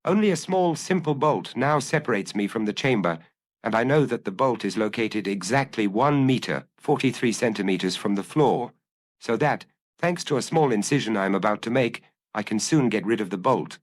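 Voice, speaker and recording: A man voicing a suave, self-assured gentleman burglar musing to himself, urbane and drily amused, in a storybook reading. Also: on the low side, expressive, moderate pace, lightly acted. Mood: brisk, pleased.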